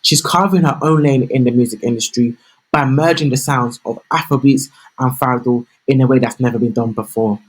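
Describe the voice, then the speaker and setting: A man's voice: medium pitch, fairly even intonation, brisk, poor audio. A man hosting a music show, reading prepared questions to his guest in an upbeat tone and praising her freely. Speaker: podcast host, interviewer. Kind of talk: scripted interview questions.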